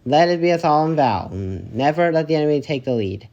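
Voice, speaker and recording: A man playing a solemn, patriotic American general who gives a formal, declamatory and slightly halting victory address in an amateur drama. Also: on the high side, lively intonation, a little mellow. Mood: solemn triumph. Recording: dull lo-fi home microphone.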